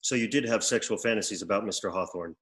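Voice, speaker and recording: Man acting a severe, deadpan official, low voice. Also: fast, close to an everyday voice. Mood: coolly interrogating. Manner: formal, deadpan, precise. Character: severe, emotionless executor in a suit, coolly officious. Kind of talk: scripted comedy table read. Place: remote video call.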